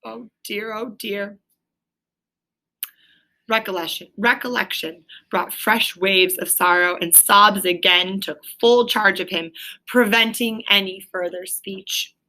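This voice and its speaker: A woman narrates a storybook aloud in a bright, forward voice. Her pitch sits in the middle, and she reads expressively as if for a child. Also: a little slow, poor audio. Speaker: storybook reader.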